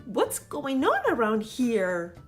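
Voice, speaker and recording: A greedy, headstrong little girl from a storybook, put on by a woman reading aloud. Her voice sits high and bounces around a lot, and she whines a little and drags her words out. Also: huge pitch swings, slow, soft background music, heavily acted. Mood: groaning. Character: greedy, tired little girl, bold and careless.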